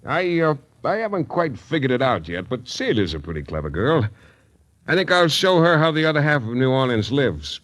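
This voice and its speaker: An actor playing a wry, terse, flirtatious hardboiled private detective in a 1940s radio drama. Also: male voice, mid-range voice, very animated, normal speed, fairly bright tone. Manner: wry, terse tough-guy patter. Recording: 1940s radio recording with music.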